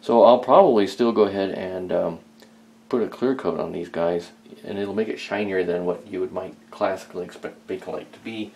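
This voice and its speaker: A man's voice: low voice, moderate pace, creaky, a little mellow. A man chatting casually and hesitantly as he thinks aloud during hands-on hobby work in a small home workshop. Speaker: hobbyist restorer, video host.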